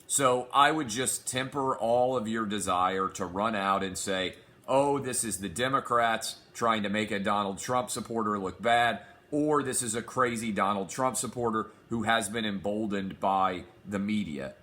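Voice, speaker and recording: A forceful male sports talk host with a slightly low, sharp-edged voice. He is sick and a little hoarse but pushes through a confident, emphatic solo monologue, moving easily from ad reads to opinion. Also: measured pace, hardly any pauses. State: sick, losing his voice.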